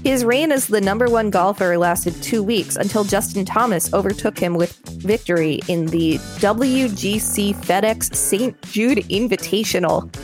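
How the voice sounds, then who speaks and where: Female co-host, article narration plus light joking banter, music clearly playing behind her. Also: mid-range voice, unhurried, few pauses. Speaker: podcast co-host reading an article aloud. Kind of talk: read-aloud narration with casual banter.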